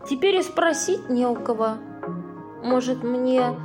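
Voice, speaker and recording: Wistful, wry young romantic heroine played by a woman, high voice, unhurried delivery, radio-play acting in an echoing room. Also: animated, loud music behind the voice. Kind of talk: amateur audio play acting. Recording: roomy echo, noisy birdsong ambience.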